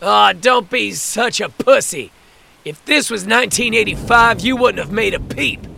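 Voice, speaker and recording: Male actor as older male villain, very gravelly, sharp, taunting, falsely friendly, crime audio drama. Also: high voice, unhurried, heavily acted. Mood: menacing, taunting. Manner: taunting, mock-affectionate. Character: older menacing crime boss, mockingly friendly and ruthless.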